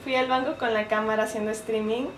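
A woman's voice: fairly high pitch, fairly even intonation, a little fast, slight background noise. Young japanese girl streaming from her living room, laughs a lot, phone mic. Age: young adult. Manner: chatty, laughs often, reads out chat comments. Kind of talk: casual live stream chat. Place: small living room, indoors.

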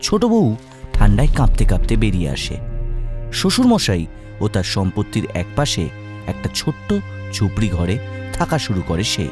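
A man's voice: on the low side, big pitch swings, normal speed, long pauses, over music. A man narrating a cartoon tale in a dramatic storytelling style.

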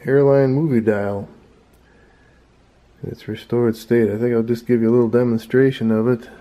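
Relaxed male hobbyist walking through a radio demo at home, plain middle voice. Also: medium pitch, lo-fi sound. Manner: relaxed, unhurried, with fillers. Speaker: radio restorer demonstrating a radio. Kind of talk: casual show-and-tell.

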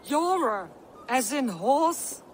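A woman's voice: high voice, very animated, very slow, full character voice. A woman playing a bossy, suspicious camp overseer in a video game, curt and commanding. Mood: domineering. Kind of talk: video game cutscene acting. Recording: faint outdoor background noise.

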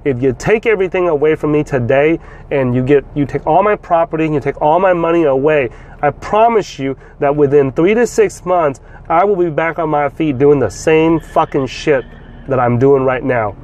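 A man's voice: a little high, normal speed. A man from South Vietnam in his forties, talking bluntly to camera from a parked car, swearing freely and often repeating words as he restarts. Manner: blunt, swears freely, animated hand gestures. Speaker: vlogger and trader. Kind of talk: casual talk to camera. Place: inside a parked car, outdoors. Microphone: phone or camera at arm's length with a lapel mic. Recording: faint car and street noise.

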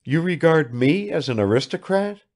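A man's voice: a little high, lively intonation, normal speed, darkish tone, pitched above the performer's own voice, natural voice. A man voicing a proud, world-weary young aristocratic woman in a languid, searching manner for an audiobook. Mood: challenging, curious. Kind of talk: dramatised literary audiobook dialogue.

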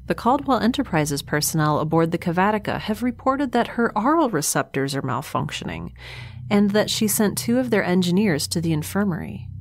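A woman's voice: on the low side, animated, a little fast. An actress playing a lone young woman stranded in space who records a log, talking in a hesitant, wry way with dry asides. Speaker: narrator keeping a log. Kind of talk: audio drama monologue.

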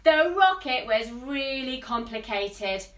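A young woman reading a children's storybook aloud, expressive and sing-song, with asides to young listeners. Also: sits high, takes it slowly. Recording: roomy echo, distant microphone.

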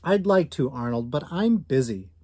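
A man's voice: medium pitch, lively intonation, normal speed, mellow, breathy edge, no echo. A man voicing a busy, firm, mildly exasperated mother in a storybook. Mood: busy, placating. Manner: firm, reasoning.

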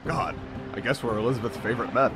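A man's voice: mid-range voice, fast talker, close to an everyday voice. A man voicing a whiny, exasperated pirate in an improvised comedy dub. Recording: music under the dialogue.

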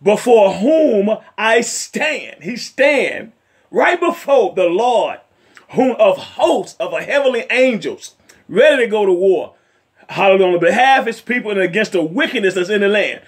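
Raspy, high-voiced preaching man. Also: animated, normal speed. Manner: fervent, punctuated with amens and hallelujahs. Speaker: evangelist. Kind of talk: home sermon and Bible study. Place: home living room, seated in a leather chair.

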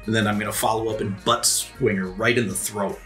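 A man's voice: on the low side, steady tone, fairly quick, a bit bright, soft background music. A man playing a tabletop game, casually describing his character's actions and calling out rolls.

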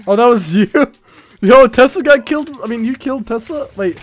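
A man hosting a gaming video, chatting and shouting excitedly with friends on a voice call. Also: extremely high pitch, normal speed. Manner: loud, excitable, yelling during fights. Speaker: gaming channel host.

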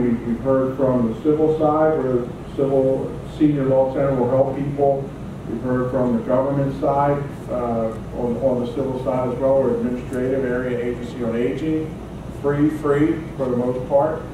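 A middle-aged man moderates a panel in a friendly, halting way, restarting his phrases. He sounds far off in a very echoey church hall. A steady hum runs underneath. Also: medium pitch. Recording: echoey hall, distant, humming.

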